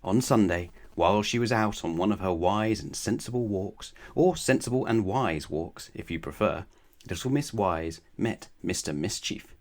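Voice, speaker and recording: A man reading a children's storybook aloud in a measured, expressive way with emphatic phrasing. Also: low voice. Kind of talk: children's bedtime story narration.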